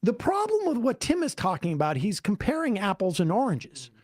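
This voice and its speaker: A commentary host who keeps pausing a played clip to make sarcastic points. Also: medium pitch, wildly swooping pitch, no echo, clean studio sound. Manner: interrupts to pause the clip, sarcastic.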